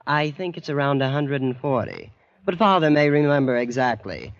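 An actor playing a blasé, boastful young American heir in a vintage radio drama, nonchalant and smug. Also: male voice, mid-range voice, very animated, normal speed, no echo. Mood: smug, casual. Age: young adult. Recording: muffled vintage radio recording.

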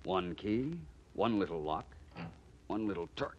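An actor playing a suave, self-assured male detective who explains things politely and deliberately in 1940s radio drama style. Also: sits low, expressive, a little mellow. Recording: muffled, noisy 1940s radio recording.

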